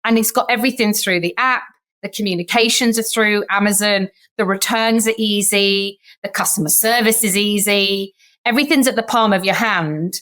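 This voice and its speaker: A woman in her thirties with a Sheffield English accent, talking as a podcast guest. She tells stories in a chatty way and acts out the voices of people in them. Her pitch sits in the middle for a woman. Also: moderate pace, fairly bright tone. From Sheffield, England. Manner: chatty storyteller who acts out remembered dialogue. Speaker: guest, startup founder. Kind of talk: podcast interview.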